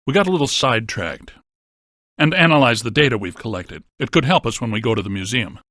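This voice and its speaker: A wry scientist who hunts ghosts in a video game. His medium-pitched voice is matter-of-fact and unflappable. The sound is dry, with very little room around it. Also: expressive, brisk, bright, forceful tone, dry recording. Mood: dry, measured. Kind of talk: scripted video game character lines.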